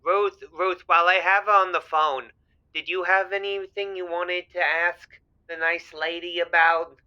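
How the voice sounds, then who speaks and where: A man's voice: sits high, little pitch movement, unhurried, pushed and bright, smooth, highs cut off, full character voice. An actor playing a naive, hesitant man in his eighties who stammers earnestly as a caller in a comic audio drama. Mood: eager, puzzled. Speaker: caller to a radio advice show.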